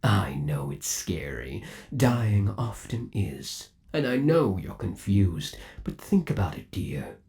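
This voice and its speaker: A man acting as an obsessively devoted undead animatronic lover in an ASMR roleplay. His low voice moves slowly and swings widely in pitch. He coaxes theatrically, savoring every endearment with eerie tenderness. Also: sing-song, a little mellow, heavily acted. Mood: soothing, obsessive. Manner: theatrical, coaxing, lingering on endearments.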